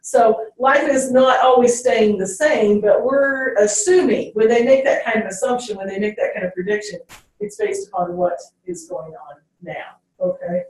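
A woman's voice: on the high side, very animated, normal speed, a little mellow. A woman teacher giving a classroom lecture, checking in with "okay?" after each point. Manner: ends phrases with "okay?". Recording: very echoey classroom, distant mic.

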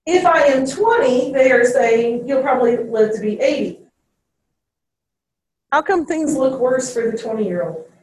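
A woman's voice: high-pitched, animated, measured pace, darkish tone. A woman teacher giving a classroom lecture, checking in with "okay?" after each point. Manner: ends phrases with "okay?". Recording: very echoey classroom, distant mic.

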